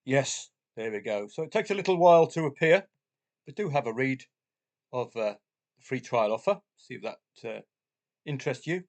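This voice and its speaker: A middle-aged man with the flu and a deep, husky voice, chatting casually about his betting results as a channel host, with jokey asides and frequent filler sounds. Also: lively intonation, normal speed, darkish tone. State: has the flu, chesty cough, voice deeper than usual.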